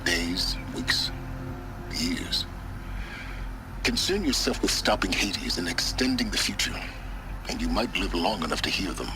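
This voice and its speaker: An actor playing an aloof, cold middle-aged scholar in a video game, speaking in formal, deliberate, grandiose phrases. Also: male voice, low-pitched, animated, over music, low-quality recording. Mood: dry, dismissive. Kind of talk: dramatic video game dialogue.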